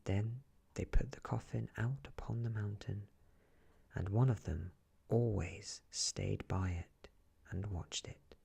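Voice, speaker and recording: A man softly reads a fairy tale for ASMR at a slow, unhurried pace. His voice is extremely breathy and husky with a creaky edge, and it often drops into a whisper. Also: lowish voice, fairly even intonation. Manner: gentle, hushed, often slipping into whisper. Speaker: ASMR storyteller. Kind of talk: soft-spoken ASMR fairy tale reading.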